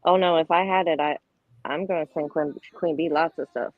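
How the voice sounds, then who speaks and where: A woman's voice: sits low, fairly even intonation, a little fast, no room sound. A woman speaking as a guest in a casual livestream chat. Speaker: panel guest. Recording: tinny phone-like line, music underneath.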